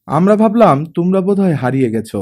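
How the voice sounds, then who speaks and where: A man's voice: high voice, big pitch swings, a little fast, a little mellow. A man voicing an eager, chirpy young daughter in an audiobook.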